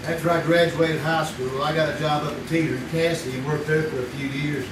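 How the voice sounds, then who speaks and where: A man's voice: a little high, fairly even intonation, brisk, lightly acted. A man playing a sly folksy old confessor. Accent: Kentucky. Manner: folksy, drawling, chuckling confessional. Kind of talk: live old-time radio drama. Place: live theater stage. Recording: echoey stage audio from far off, audible noise.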